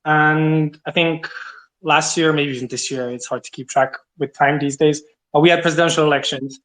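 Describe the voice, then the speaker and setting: Man from Serbia, fairly high voice, conversational host, opinionated, online audio chat. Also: fairly even intonation, moderate pace, a bit bright. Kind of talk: casual chat.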